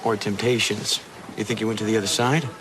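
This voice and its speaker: An actor playing an inquisitive, plain-spoken police detective in an audio drama, casual and questioning. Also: male voice, lowish voice, fairly even intonation, fairly quick, crowd noise, close to an everyday voice.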